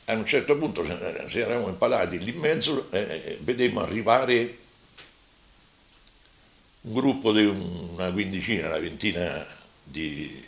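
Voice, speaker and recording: An elderly man giving spontaneous testimony as a survivor, halting and repetitive but emphatic. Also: deep voice, lively intonation, gravelly, echoey room. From Rome, Italy. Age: elderly, over 80.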